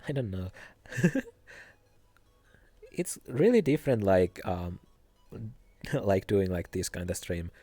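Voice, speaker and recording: A young man with a Finnish accent chatting casually on a live stream, soft-spoken and giggly, hesitating often. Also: deep voice, sing-song, slow, pauses often, no room sound, soft background music. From Finland.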